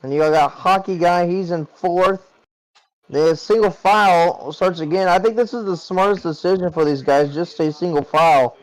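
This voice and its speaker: Male play-by-play caller, smooth, chatty delivery. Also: sits high, moderate pace, rough recording. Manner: chatty, conversational race calling. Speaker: play-by-play commentator. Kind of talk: sim racing broadcast commentary.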